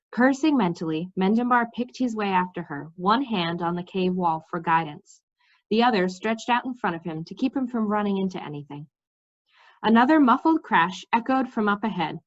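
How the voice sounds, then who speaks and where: A woman reading a children's fantasy story aloud in an expressive, informal way. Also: medium pitch. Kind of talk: story read-aloud with asides to listeners. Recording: slightly dull, lo-fi sound.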